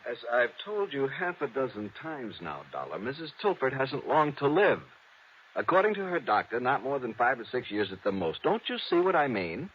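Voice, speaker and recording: An actor playing a shrewd, money-hungry middle-aged business manager in an old-time radio drama, condescending in manner. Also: male voice, mid-range voice, sing-song, moderate pace, slight background noise, lightly acted. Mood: insistent. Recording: muffled vintage radio recording.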